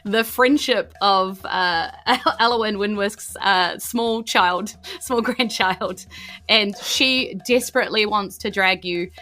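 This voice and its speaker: A woman's voice: mid-range voice, slow, pushed and bright. A woman with a New Zealand accent narrating a tabletop game as game master, chatty and informal, putting questions to the players.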